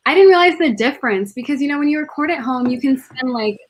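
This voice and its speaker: A woman's voice: high voice, lively intonation, fast talker. A woman in her twenties from Connecticut, a music artist chatting casually as an interview guest. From Connecticut, United States.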